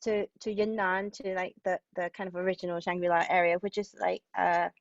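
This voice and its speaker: Halting woman, slightly creaky, in muffled audio. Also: on the low side, level tone, dry recording. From Hong Kong. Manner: thoughtful, halting, self-interrupting. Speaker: guest playwright. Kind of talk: conversational interview answers.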